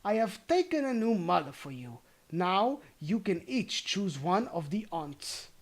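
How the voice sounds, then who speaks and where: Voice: medium pitch, big pitch swings, a little slow. A storybook reader voicing a jovial, boastful old male goblin patriarch. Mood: hearty. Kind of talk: storybook character voice.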